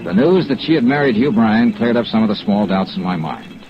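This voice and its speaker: A male actor plays a hard-boiled insurance investigator of old radio drama, dogged and clipped. His lowish voice comes through a dull vintage recording, delivering each detail matter-of-factly. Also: animated, brisk, over music, close to an everyday voice. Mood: grim. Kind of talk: 1940s-50s radio detective drama acting.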